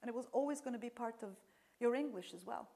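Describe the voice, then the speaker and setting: A woman's voice: a little high, fast talker, creaky, warm tone. A woman lecturer whose first language is Serbian, answering audience questions after a talk, explaining with frequent fillers and restarts.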